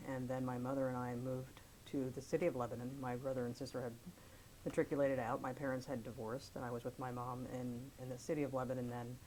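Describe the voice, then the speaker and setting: Low-voiced woman in her 50s from pennsylvania, measured and careful, faint hiss behind. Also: little pitch movement, darkish tone, rough recording. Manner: measured, careful recounting with small restarts. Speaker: interviewee, attorney. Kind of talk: oral history interview.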